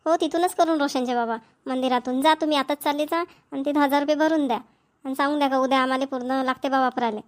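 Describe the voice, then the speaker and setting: An insistent, dutiful village wife and mother from a cartoon comedy, acted by a woman. Her voice is high and she rattles along quickly and chattily in a Varhadi Marathi accent. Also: fairly even intonation, close to an everyday voice.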